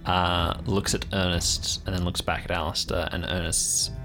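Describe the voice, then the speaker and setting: A man's voice: low-pitched, flat delivery, normal speed, over music. A man narrating an improvised audio drama as game master, describing scenes atmospherically in the second person.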